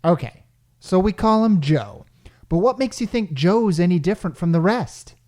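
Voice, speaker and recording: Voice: mid-range voice, lively intonation, moderate pace, warm tone, lightly acted. A performer in a radio play acting a brash, exasperated salesman who talks in slangy, wisecracking sales patter. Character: brash, exasperated salesman named Caldwell, wisecracking working-class type.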